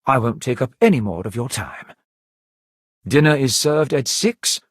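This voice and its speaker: A man playing a polite, composed servant of a grand manor in a video game, speaking with formal, measured courtesy. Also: mid-range voice, animated, dry recording, clean studio sound. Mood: formal, courteous. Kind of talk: scripted video game dialogue.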